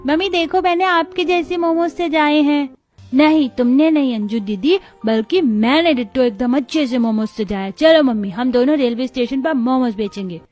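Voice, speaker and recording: A woman's voice: high voice, normal speed, a little mellow, soft background music, voice pushed up, natural voice. A woman voicing an eager, boastful little child in a cartoon story, in a childlike manner. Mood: eager, proud.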